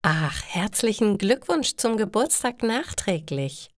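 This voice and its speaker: Frau spielt eine langsame, höfliche Bankangestellte. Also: female voice, medium pitch, sing-song, no echo, clean studio sound. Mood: polite. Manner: formal, slow storybook dialogue. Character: polite, helpful female bank clerk.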